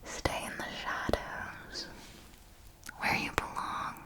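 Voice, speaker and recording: Woman acting a slow, whispering elder vampire mentor. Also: pushed and bright. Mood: cautionary. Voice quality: breathy whisper. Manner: slow, deliberate, condescendingly affectionate. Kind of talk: whispered ASMR roleplay.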